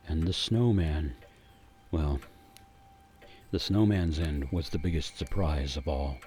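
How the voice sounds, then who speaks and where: A man playing a grave gingerbread man, narrating a radio play as a haunted survivor with theatrical suspense and weighty pauses. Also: lowish voice, a little mellow. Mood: grim, rueful. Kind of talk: dramatic first-person storytelling for a radio play.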